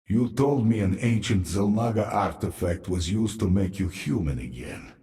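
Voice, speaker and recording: A man's voice: on the low side, expressive, normal speed, dark tone, lightly acted. A man playing a grave, solemn alien advisor in a video game cutscene, giving portentous counsel. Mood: ominous. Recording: echoing sound with game music behind.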